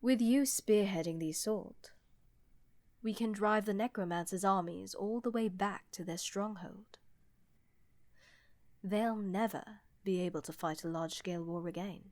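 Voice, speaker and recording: A woman playing a smug, condescending older empress in a fantasy audio roleplay, with measured, deliberate delivery. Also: mid-range voice, a little mellow, no echo, close to an everyday voice. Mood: proud, persuasive. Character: haughty, older, condescending female ruler of a vast empire.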